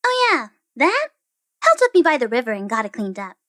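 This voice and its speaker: Woman playing a very high, bubbly teasing death goddess. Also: huge pitch swings, fairly quick, pushed and bright, exaggerated voice. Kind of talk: amateur audio drama acting.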